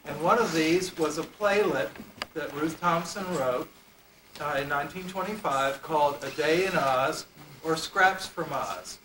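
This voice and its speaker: A man in his forties giving a formal, measured introduction to a stage play, captured on an amateur camcorder. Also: a little high, bright, forceful tone, distant mic. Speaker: master of ceremonies introducing a play. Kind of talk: prepared introductory speech to an audience. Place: indoor convention hall, standing before stage curtain, distant camera. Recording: echoey, noisy amateur camcorder from afar.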